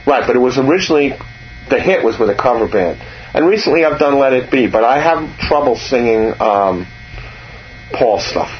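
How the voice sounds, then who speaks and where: Low-voiced man chatting about music. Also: expressive, moderate pace, lo-fi sound, a little hiss or hum. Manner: rambling, restarts, hums a tune. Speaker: interviewer, musician. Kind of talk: casual music chat.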